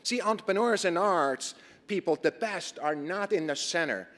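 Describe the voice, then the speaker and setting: A man with a Swiss accent giving an earnest conference talk, posing rhetorical questions and pausing on fillers. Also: sits high, animated, a bit bright. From Switzerland. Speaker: conference presenter. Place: conference stage. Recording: reverberant lecture hall.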